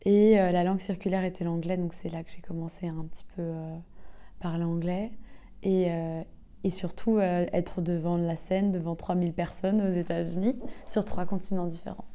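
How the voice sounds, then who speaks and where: A woman's voice: on the low side, fairly even intonation, normal speed, dark tone. A woman in her twenties from the south of France, a singer and actress telling her story in an interview with many hesitations. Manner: hesitant, many filler sounds, laughs easily. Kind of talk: casual interview talk.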